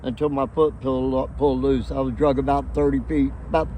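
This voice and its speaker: Older American man, flat storytelling. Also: mid-range voice, brisk, rough recording. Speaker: homeless interviewee. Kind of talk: casual storytelling. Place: outdoors among trees. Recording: faint outdoor background noise.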